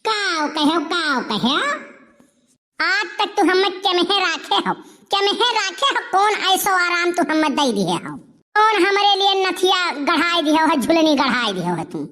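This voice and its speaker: A woman voicing a sharp-tongued, nagging village wife in an Awadhi comedy cartoon, with an Awadhi accent. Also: very high voice, very animated, measured pace, exaggerated voice. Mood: angry scolding. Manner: nagging, complaining.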